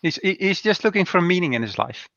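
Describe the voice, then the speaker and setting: A man who is not a native English speaker, chatting about a book with halting, repeated words and dry humor. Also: high voice, very animated, vocal fry, a bit bright, no room sound. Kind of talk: casual book club chat. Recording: dull dry lo-fi sound.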